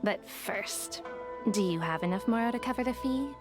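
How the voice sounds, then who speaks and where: A woman voicing a shrewd, businesslike female detective with a smooth, sly manner. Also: fairly high pitch, lively intonation, moderate pace, natural voice. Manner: smooth, sly, deal-making. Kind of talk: game voice acting. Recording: game audio under music.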